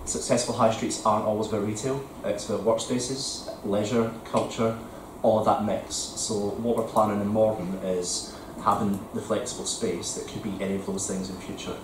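A man answering councillors' questions at a meeting in a measured, explanatory way with frequent ums. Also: on the low side, fairly even intonation, background noise. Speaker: council regeneration officer. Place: large council committee room. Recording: echoey committee room from far off.

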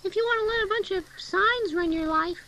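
A man's voice: very high-pitched, a little fast, pushed and bright, pitched above the performer's own voice, exaggerated voice. A man voicing a small lonely male storybook creature, sweet, coaxing and plaintive. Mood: coaxing, pleading.

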